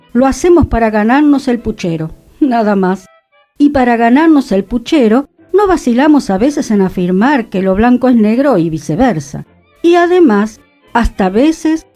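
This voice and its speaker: A woman with a Rioplatense Spanish accent reads a literary essay in a radio studio. Her voice is mellow and soft-edged, and her pitch rises and falls widely as she leans into each rhetorical question. Music plays faintly under her. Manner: expressive, rhetorical reading. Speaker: radio host. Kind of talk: reading a literary essay aloud.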